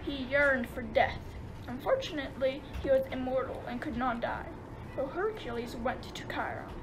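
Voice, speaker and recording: A woman's voice: sits high, normal speed, background noise. A woman narrating a radio play as a scripted storyteller.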